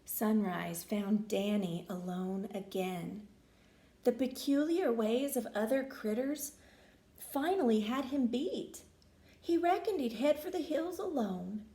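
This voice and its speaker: A woman narrating a children's storybook in an expressive, theatrical way. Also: on the high side, takes it slowly, echoey room. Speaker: storybook reader.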